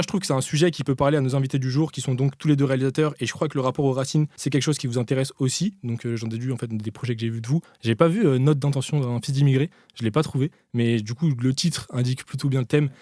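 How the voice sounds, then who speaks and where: A man's voice: mid-range voice, fairly even intonation, rapid-fire, hardly any pauses, a little mellow, no room sound, studio quality. A man hosting a casual panel chat and presenting a film column in an informal, slangy way.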